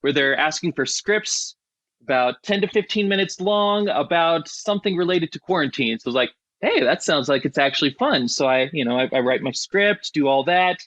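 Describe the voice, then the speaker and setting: A man's voice: on the high side, animated, moderate pace, a bit bright, no room sound. A man hosting a podcast in a casual, chatty and enthusiastic way. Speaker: podcast host.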